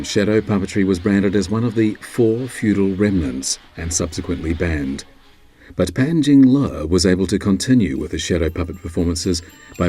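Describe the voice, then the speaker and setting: A man narrates a documentary in a very low voice. His delivery is measured and formal, with faint music behind him. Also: mellow.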